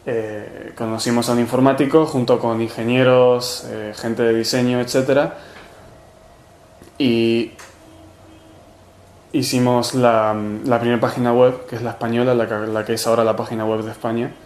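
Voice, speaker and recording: A man's voice: mid-range voice, flat delivery, moderate pace, a little mellow, echoey room. A man from Argentina with an Argentine Spanish accent, answering interview questions with many fillers and restarts. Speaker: movement organizer.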